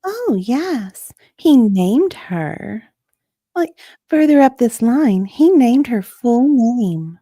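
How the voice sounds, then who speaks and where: A woman's voice: a little high, sing-song, drawn-out words, mellow, no room sound, studio quality, exaggerated voice. A woman playing an unsure, hesitant witness in an amateur dramatic reading of a deposition, drawing out her answers. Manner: hesitant, drawn out, uncertain.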